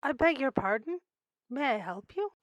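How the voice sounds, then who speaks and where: An actress playing a courteous, unflappable telephone operator in an old-time radio play, speaking in detached set phrases. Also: female voice, sits high, very animated, moderate pace, dry recording, lo-fi sound. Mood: detached, patient. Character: polite, unflappable female telephone switchboard operator.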